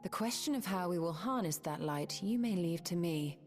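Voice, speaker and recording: An actress voicing a wise, composed sorceress in a video game, speaking in a measured, formal and assured way. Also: female voice, lowish voice, animated, soft background music, lightly acted.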